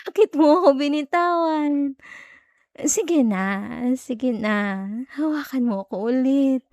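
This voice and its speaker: A woman's voice: sits high, takes it slowly, darkish tone, dry recording, studio quality. A woman with a Filipino accent playing an obsessive, possessive stalker in an intimate ASMR roleplay, coy, teasing and sweetly menacing. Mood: coaxing, eager. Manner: coy, teasing, giggly, lingering over words.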